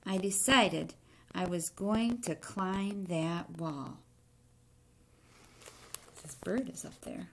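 A middle-aged woman reads a children's book aloud in deliberate short phrases, pausing to put questions to young listeners. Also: on the low side, a bit bright, rough recording. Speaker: teacher reading a picture book aloud. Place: indoor room in a home.

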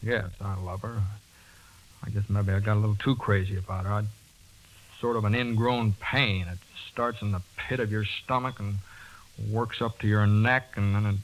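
A man playing a hot-headed, awkward young working man in a halting, folksy way in a 1940s radio drama. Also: fairly low pitch, steady tone, close to an everyday voice. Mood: awkward, downcast. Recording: muffled 1940s radio broadcast.

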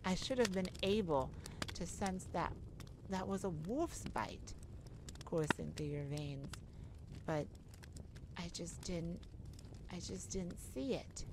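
A woman's voice: on the low side, expressive, slow, pauses often, noisy background. A woman playing a nervous, caring mage who speaks hesitantly and tenderly in an ASMR audio roleplay. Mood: concerned, gently teasing. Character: nervous, caring young mage rescuing a friend.

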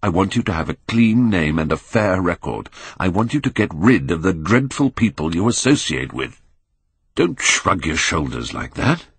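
A grave, moralising painter from a Victorian storybook, acted out by a male reader. The voice is deep and a little husky, recorded very dry. He pleads earnestly, with anguish under his propriety. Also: sing-song, moderate pace, no room sound, heavily acted. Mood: grave pleading.

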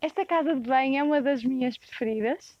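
A young woman with a European Portuguese accent gives a casual walkthrough tour of a rustic stone farmhouse. She describes each space in a relaxed way, with frequent hesitations. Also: high voice, brisk, lo-fi sound, background noise. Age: young adult. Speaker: property tour guide.

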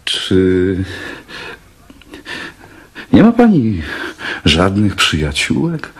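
An actor playing a shy, kindly young lodger in a radio drama, halting and stammering as he talks. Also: low-pitched, huge pitch swings, husky, exaggerated voice. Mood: shy, earnest.

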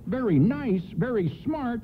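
An actor playing a wry, excitable department store Santa in a colloquial radio-play style. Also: male voice, extremely high pitch, slow, exaggerated voice. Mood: excited, dismayed. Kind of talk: old-time radio play acting. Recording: narrow-band AM radio, faint noise.

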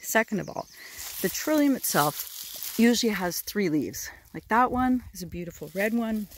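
Middle-aged woman, relaxed how-to talk, outdoors in the woods, phone held at arm's length, birds singing behind. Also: medium pitch, slight background noise. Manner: gentle, admiring, unhurried explaining. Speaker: forager showing her land. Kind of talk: casual outdoor how-to narration. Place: spring woodland outdoors by a river. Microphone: phone camera held at arm's length.

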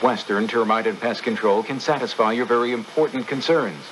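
A man's voice: medium pitch, brisk, fairly bright tone, poor audio. Man, mid-range voice, scripted radio ad read, announcer, faint noise. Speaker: commercial announcer.

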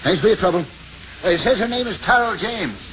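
A man's voice: high voice, animated, brisk, fairly bright tone. An actor playing a plain-spoken, dutiful beat policeman, clipped and matter-of-fact, in a 1950s radio drama. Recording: muffled, hissy 1950s radio recording.

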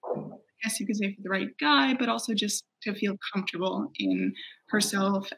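A woman's voice: medium pitch, normal speed, creaky, a bit bright, husky, poor audio. A young woman from New York in her twenties, talking about her web series on a video call in a casual, upbeat way. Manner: upbeat, casual with likes and kind-ofs. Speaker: web series creator and actress. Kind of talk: casual interview answers. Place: home room.

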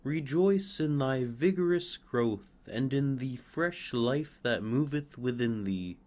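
A man voicing kindly sunbeams, speaking slowly and solemnly as though giving a blessing. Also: medium pitch, lively intonation, darkish tone. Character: gentle chorus of sunbeams, kindly. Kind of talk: storybook reading.

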